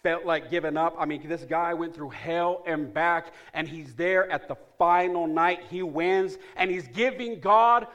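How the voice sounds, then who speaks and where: A middle-aged man preaching a sermon as pastor, repeating phrases for emphasis and swinging from loud calls to hushed asides. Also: on the high side, measured pace, few pauses, dull audio.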